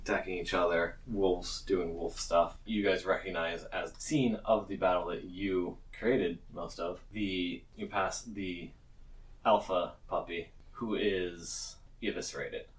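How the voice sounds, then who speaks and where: A low-voiced man acts as game master for a tabletop game. He improvises his narration informally and is easy to make laugh. The microphone sounds a little way off from him. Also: steady tone, measured pace. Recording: distant microphone.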